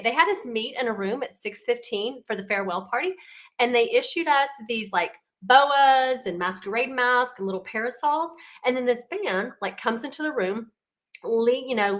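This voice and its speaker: A woman, raspy and congested from illness, chatting casually as she demonstrates a card-making craft with frequent ums. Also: on the high side, fairly bright tone.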